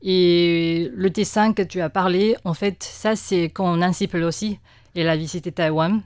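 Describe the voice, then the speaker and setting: A woman with a Taiwanese Mandarin accent speaks in a fairly low voice, with many fillers and restarts. She sounds like a second-language speaker answering interview questions. The recording is dry and close, like a studio mic. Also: fairly even intonation, a little slow, a little mellow, no room sound. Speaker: guest press cartoonist.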